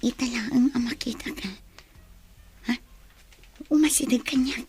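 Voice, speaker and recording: A woman's voice: a little high, measured pace, darkish tone, soft background music, natural voice. A woman acting a trusting, coy young female student in an Ilocano radio drama, with an Ilocano accent. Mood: shy, pleased.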